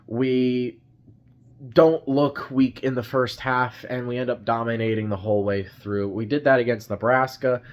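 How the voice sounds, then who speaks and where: A young man with a stuffy, congested voice gives a rambling post-game recap as a sports fan vlogger, full of fillers and restarts. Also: medium pitch. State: congested, has a cold.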